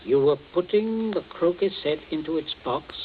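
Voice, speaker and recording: Man acting a bossy cold middle-aged dad in 40s radio play, clipped orders, swoopy pitch, tinny sound. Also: lively intonation, moderate pace, natural voice. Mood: stern, controlled. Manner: clipped, commanding interrogation. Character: stern, controlling middle-aged father, coldly methodical and domineering. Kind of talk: 1940s radio drama acting. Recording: tinny, hissy 1940s radio broadcast.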